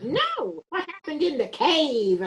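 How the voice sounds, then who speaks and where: Shrewd doctor played by a woman, questioning, matter-of-fact, higher pitch, very animated intonation. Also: takes it slowly, a little hiss or hum, heavily acted. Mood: curious. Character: inquisitive, shrewd small-town doctor solving a murder. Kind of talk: amateur readers theater mystery.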